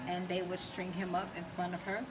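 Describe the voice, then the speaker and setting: A woman's voice: on the low side, completely flat delivery, brisk. American woman being interviewed, explains stuff with emphasis, kinda far from the mic, lots of noise around her. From United States. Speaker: interview guest. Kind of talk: conversational interview, explaining personal views. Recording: distant mic, loud ambient noise.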